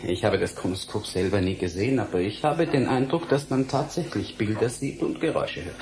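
An actor playing a young, irritable, self-absorbed scientist in a German radio drama, impatient and lecturing. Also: male voice, on the low side, animated, fairly quick, a crowd in the background. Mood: reserved.

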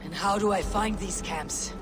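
An actress playing a stern young female Viking warrior in a video game cutscene, curt, guarded and measured. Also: medium pitch, music in the background, lightly acted.

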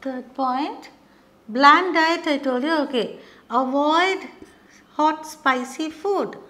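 A middle-aged woman teaching a beginners' lesson at a whiteboard, explaining terms slowly and checking in with frequent "okay". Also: high voice, pushed and bright. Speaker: English teacher. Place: studio classroom with whiteboard.

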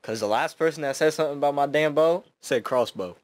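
A man's voice: on the high side, fast talker. A teenage boy in his bedroom, talking casually into a gaming headset, crude and joking with strangers. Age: teens. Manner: joking, crude, loose laughing. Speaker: channel host. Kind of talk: casual video chat banter. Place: bedroom with green screen.

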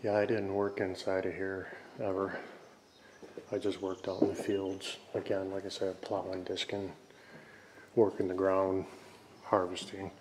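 A man with a fairly low voice narrates his exploration casually. He talks in musing, broken-up remarks as he looks around. The handheld camera recording carries clear background noise. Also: monotone, a little slow, a little mellow, rough recording. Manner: musing, fragmented remarks while looking around. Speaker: urban explorer vlogger. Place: inside a dark abandoned barn. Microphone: handheld camera mic.

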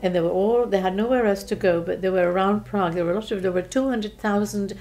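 An elderly woman in her eighties from Czechoslovakia recalls the past in a mellow, soft-toned voice. She speaks gently and unhurriedly, pausing and restarting often, with faint music under her. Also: mid-range voice. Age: 80s. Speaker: interviewee. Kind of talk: recounting childhood memories. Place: living room, seated in armchair.

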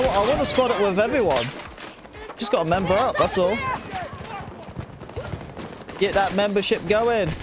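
Chatty young male streamer, game noise. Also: high-pitched. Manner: chatty, thanks viewers, stutters at times. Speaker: gaming livestreamer. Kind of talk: casual livestream chat while playing. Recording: game sound mixed loud behind voice. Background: game gunfire and explosions.

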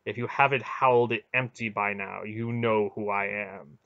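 A man voicing a calm, commanding spirit in a storybook, speaking in a measured, curt and authoritative way. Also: on the low side, creaky, highs cut off.

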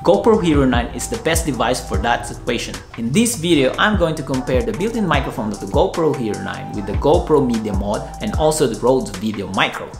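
A man's voice: medium pitch, lively intonation, brisk, few pauses, roomy sound, music in the background. A man in his forties with a Filipino accent, reviewing gear in a casual, candid home vlog. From Philippines. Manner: candid, blunt opinions. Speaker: tech vlogger. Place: living room at home.

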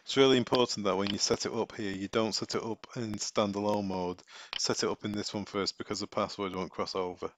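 A man giving a casual step-by-step software tutorial, thinking aloud as he works. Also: fairly low pitch, fairly even intonation, a little fast, a little hiss or hum.

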